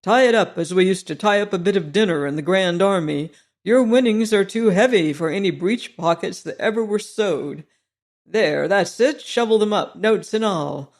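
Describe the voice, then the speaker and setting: A man voicing a boisterous old French ex-soldier with a hoarse voice and a French accent, effusive and flattering. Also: high voice, normal speed. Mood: jovial, eager.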